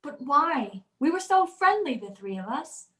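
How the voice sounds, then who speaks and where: An actress playing a frightened, bewildered young wife in a radio mystery, with a breathy voice. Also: female voice, high-pitched, sing-song, measured pace, fairly bright tone, exaggerated voice. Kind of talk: old-time radio mystery drama acting.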